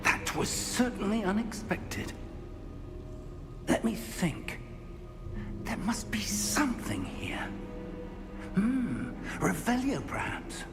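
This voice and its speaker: Composed wizard professor, loud score. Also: male voice, high-pitched, huge pitch swings, full character voice. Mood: pondering, encouraging. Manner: measured, formal, deliberate speech. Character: seasoned male wizard professor, composed, curious, protective mentor. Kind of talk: video game voice acting. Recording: loud orchestral game music behind. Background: game soundtrack music.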